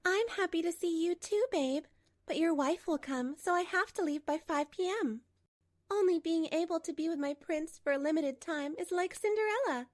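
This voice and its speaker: A woman as a young hostess mistress, very high pitch, cooing, sly, manga drama. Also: normal speed, dry recording, studio quality. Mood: coy.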